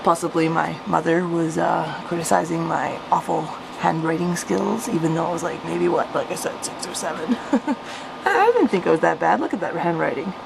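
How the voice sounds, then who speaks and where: A woman's voice: on the low side, normal speed, creaky, noisy background. A woman reading out her own childhood story with casual, amused, self-mocking commentary. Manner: self-mocking, chuckly, animated when reading. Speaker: storyteller, vlogger.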